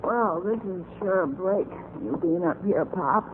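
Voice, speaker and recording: A woman's voice: fairly low pitch, a little slow, lightly acted. An actress playing a weary, friendly working-class cleaning woman with a folksy, chatty manner in 1940s radio drama. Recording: tinny, faintly noisy old radio recording.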